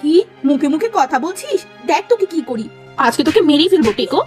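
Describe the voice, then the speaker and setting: A woman voicing a spiteful, superstitious village neighbour in a cartoon, shrill and scolding. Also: very animated, brisk, darkish tone, soft background music. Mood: threatening.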